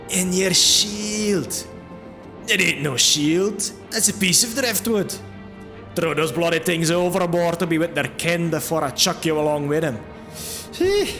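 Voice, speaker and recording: A man voicing a gruff, blunt but good-humored dwarf combat trainer in a fantasy audio drama, with a brash, teasing manner. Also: fairly high pitch, sharp tone, pitched above the performer's own voice. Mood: scornful, exasperated. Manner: brash, teasing, hearty exclamations.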